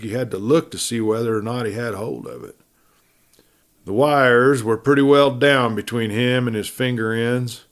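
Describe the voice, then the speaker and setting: A man reading a classic adventure tale aloud as a storyteller, in a measured, dramatic way with phrase endings trailing off softly. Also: medium pitch. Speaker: audiobook narrator.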